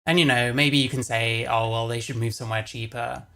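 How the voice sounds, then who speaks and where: Bright-voiced man with a creaky edge. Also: mid-range voice, steady tone, a little fast. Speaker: streamer reacting to another stream. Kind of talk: casual live commentary.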